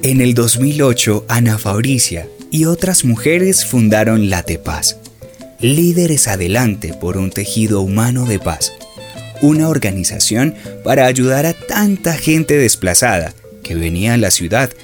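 A man's voice: mid-range voice, lively intonation, unhurried, lightly acted. A man delivering scripted narration for a radio drama. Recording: clear instrumental music behind.

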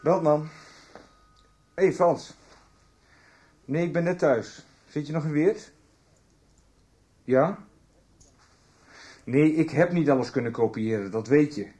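An actor playing a cornered, agitated man in a halting phone conversation in a radio soap. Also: a little high, warm tone. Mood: tense, defensive. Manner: halting, agitated, one-sided phone talk. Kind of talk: Dutch radio soap acting.

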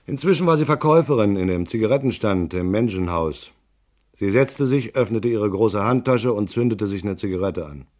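Low-voiced, laconic hard-boiled detective played by a man. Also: expressive, normal speed, a little mellow, natural voice. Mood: wry, matter-of-fact. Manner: laconic, dry, sardonic. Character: wry, skeptical male private detective telling his case. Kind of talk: radio drama narration and dialogue, hard-boiled crime.

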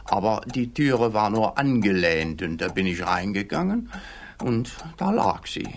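An actor playing an odd, guileless middle-aged East German client in a comic radio play, hesitant, rambling and naively literal. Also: male voice, lowish voice, sing-song, measured pace, heavily acted. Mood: naive, matter-of-fact.

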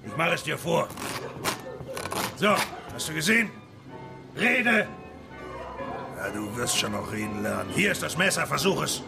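An actor in a radio play for children playing a cruel, domineering tanner boss who barks orders and threats. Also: male voice, a little high, big pitch swings, unhurried, gravelly, pauses often, music in the background, exaggerated voice. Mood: angry, threatening.

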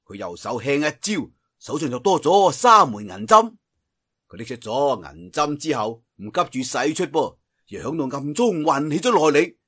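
A man's voice: fairly high pitch, very animated, moderate pace, fairly bright tone, breathy edge. A man with a Cantonese accent telling a martial arts story theatrically, drawing out phrases for emphasis. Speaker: audiobook storyteller.

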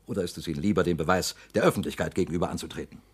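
A scheming, insinuating politician. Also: male voice, deep voice, very fast, breathy edge. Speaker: politician confronting a company director. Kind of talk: radio drama acting.

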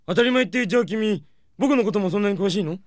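A man's voice: high voice, quick pace, no echo, studio quality, lightly acted. A man playing an officious, flustered audition judge in a comedic audio drama. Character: pompous, flustered music industry executive judging auditions.

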